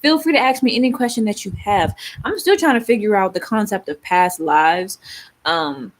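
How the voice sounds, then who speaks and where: A woman's voice: medium pitch, lively intonation, normal speed. A young woman from Baltimore with a Baltimore accent, hosting a casual live stream with rambling, laughing talk and lots of fillers. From Baltimore, Maryland. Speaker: livestream host.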